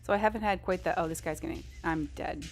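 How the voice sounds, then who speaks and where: A woman's voice: on the low side, fast, gravelly, a little hiss or hum. A woman live streamer chatting casually over a game, in a rambling, informal and self-deprecating way. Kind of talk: casual gaming stream chat.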